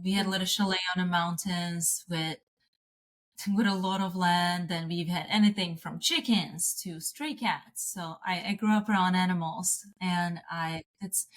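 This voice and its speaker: A woman, talking casually as an interview guest, restarting sentences and laughing often. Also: lowish voice. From Slovakia.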